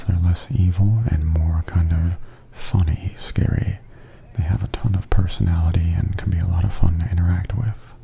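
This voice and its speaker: A man giving softly spoken ASMR tour commentary in a breathy, near-whispered, gentle and unhurried murmur. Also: low voice, a little hiss or hum.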